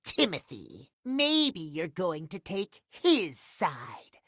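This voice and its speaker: A sinister, high-voiced, volatile game villain. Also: male voice, wildly swooping pitch, extremely slow, sharp tone, dry recording, clean studio sound, full character voice. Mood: menacing, taunting. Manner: speaks of himself in third person, menacing, taunting. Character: sinister, possessive hidden clock alter ego, boastful and resentful. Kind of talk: video game character acting.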